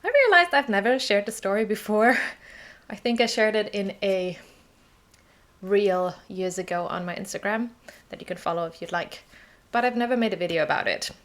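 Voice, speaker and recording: A woman's voice: mid-range voice, animated, normal speed, fairly bright tone. German-accented woman telling her story. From southern Germany. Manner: chatty, laughs often, tag questions like okay. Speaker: English teacher. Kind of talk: personal vlog story.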